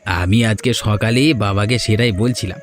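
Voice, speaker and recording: A man's voice: on the low side, moderate pace, faint music behind, natural voice. A man voicing a sly, scheming young thief in a cartoon. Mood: glib. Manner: scheming, flustered when caught. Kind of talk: Bengali cartoon dubbing.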